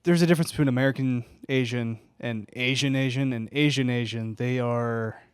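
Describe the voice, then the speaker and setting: Man, podcast co-host, smooth clear voice, dry close mic, blunt crude humor. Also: mid-range voice, fairly even intonation, a little fast, no echo. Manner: blunt, crude, opinionated jokes. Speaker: podcast co-host reacting to the story. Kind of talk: casual comedic podcast chat.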